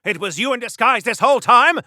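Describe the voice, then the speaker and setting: A male voice actor playing a chatty, courtly, oblivious gentleman adventurer in a comic superhero game, in a mannered, wordy 1940s old-time radio style. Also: very high voice, lively intonation, a little fast, no echo, studio quality, exaggerated voice. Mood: alarmed, indignant. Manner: mannered, wordy, theatrical, polite.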